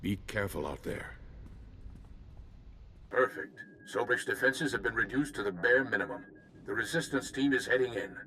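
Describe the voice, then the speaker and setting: Low-voiced, seasoned older strategist. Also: male voice, lively intonation, sharp tone, a little hiss or hum. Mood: brisk. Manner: measured, formal. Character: calm, seasoned older male Jedi strategist. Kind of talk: video game cutscene acting.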